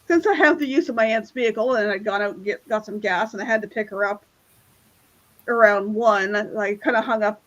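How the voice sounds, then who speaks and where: A woman's voice: mid-range voice, brisk, highs cut off. A woman telling rambling everyday stories over a video call, laughing often as she talks. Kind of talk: casual group chat.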